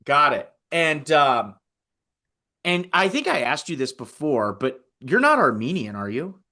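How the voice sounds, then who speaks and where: A man's voice: a little high, sing-song, unhurried, sharp tone. An American man hosting a podcast, speaking in an informal, enthusiastic way. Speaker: podcast host. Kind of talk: casual interview chat.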